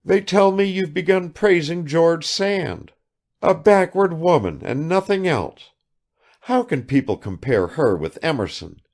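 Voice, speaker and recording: A man's voice: a little high, animated, a little mellow, pitched above the performer's own voice. A man reading aloud and voicing an affected, chattering provincial woman who fires off questions without waiting for answers. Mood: gushing chatter. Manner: gushing, rapid-fire questions.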